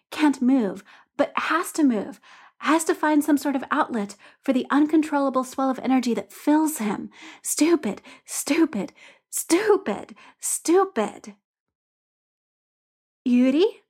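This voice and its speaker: A woman reading a story aloud in an expressive, gently paced narration. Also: high-pitched, slightly rough.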